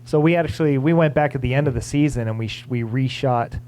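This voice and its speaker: A man's voice: mid-range voice, fast, warm tone, slight background noise. A man in his forties, a visual effects supervisor, talking on a theater stage into a handheld mic, hesitant with many fillers and restarts. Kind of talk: panel conversation on stage. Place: theater stage before an audience. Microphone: handheld microphone.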